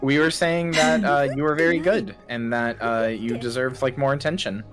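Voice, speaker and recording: A woman co-streamer chatting casually, earnest and teasing, quick and animated in how she talks. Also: extremely low pitch, soft background music. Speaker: guest co-streamer.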